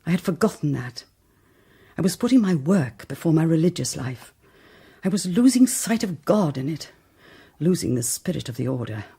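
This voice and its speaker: A woman's voice: sits low, very animated, moderate pace, gravelly, mellow, slightly rough, full character voice. An actress playing a troubled, earnest nun who confesses her doubts in a hesitant way.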